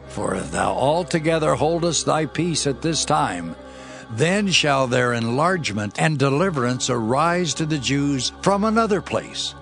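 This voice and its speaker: Grave adviser played by a man, gravelly voice set a little high, lively urgent lines over soft music. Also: a little slow, full character voice.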